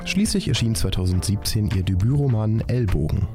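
Male radio announcer, low and gravelly, scripted narration over music. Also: animated, brisk, darkish tone. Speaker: podcast announcer. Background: music bed.

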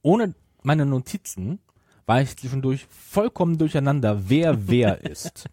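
Mellow-voiced man chatting casually. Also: medium pitch, animated, measured pace, slightly rough, no echo, clean studio sound. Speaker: podcast co-host. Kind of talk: casual chat about audio dramas.

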